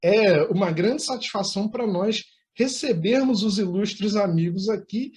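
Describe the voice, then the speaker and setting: Male host, Brazilian accent, high register, enthusiastic, flowery presenting style. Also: a little slow, darkish tone. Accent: Brazilian Portuguese. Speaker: interview host, anchor. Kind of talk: effusive, formal hosting talk.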